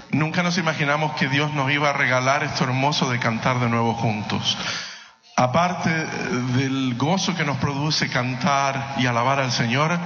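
A man's voice: medium pitch, a bit bright. A man speaking informally to a church congregation as a gospel singer, heartfelt and lightly joking. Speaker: singer in a gospel vocal group. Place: church sanctuary, amplified to a congregation. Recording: echoey hall, muffled sound, faint music.

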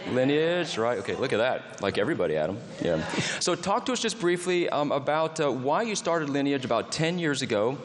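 A man's voice: mid-range voice, big pitch swings, a little fast, hardly any pauses. Enthusiastic male host in a reverberant hall. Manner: enthusiastic, folksy, many fillers like "like" and "you know". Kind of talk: onstage interview at a church camp meeting. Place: large hall before a live audience.

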